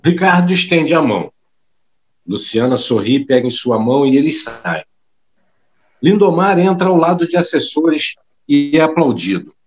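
A man's voice: fairly high pitch, very animated, normal speed, a little hiss or hum. A man narrating scenes for an audio drama from a script. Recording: dull lo-fi recording.

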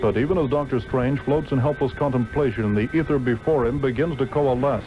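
A man's voice: mid-range voice, brisk, lo-fi sound, over music. A man narrating a 1960s radio serial from a script in a dramatic, formal manner. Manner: grandiose, formal. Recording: very noisy old radio recording.

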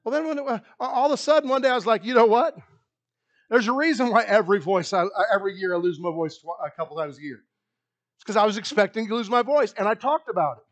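High-voiced preacher with a Kentucky accent. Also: male voice, fast talker. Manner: animated, repeats himself, paces the stage. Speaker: pastor. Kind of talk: lively sermon. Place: small church hall with a low stage. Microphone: handheld mic, filmed by a far wide camera.

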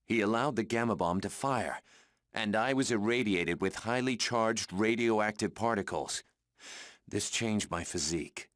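A man playing a troubled, sober scientist who narrates his own story in a video game, in a measured, grave manner. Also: low voice, no room sound, clean studio sound.

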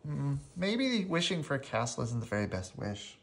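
A woman voicing an excitable, bold young girl in a children's storybook, with an expressive, exclaiming delivery. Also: extremely low pitch, normal speed, exaggerated voice. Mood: earnest. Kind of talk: acted children's storybook reading.

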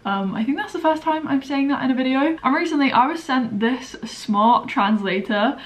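A woman's voice: sits high, expressive, normal speed. A young woman in her twenties with an English accent, chatting casually in a vlog and rambling with lots of fillers. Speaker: vlogger.

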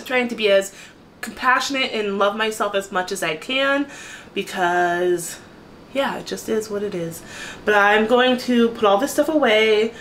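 A woman in her thirties talking casually to camera in a small home kitchen, chatty and animated. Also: on the high side, a little hiss or hum. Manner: chatty, animated, gestures a lot while talking. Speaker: vlogger. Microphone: camera at a distance.

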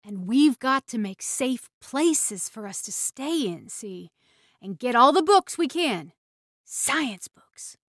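A woman plays an embittered soldier scheming to rule the ruins in a radio play. The voice is high and dry, with halting intensity that moves between hushed plotting and fervor. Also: lively intonation, no room sound, exaggerated voice. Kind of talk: radio drama acting.

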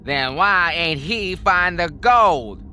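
A man's voice: on the high side, expressive, slow, pushed and bright, a little hiss or hum, exaggerated voice. A man playing a suspicious, sneering uncle who is hunting for gold.

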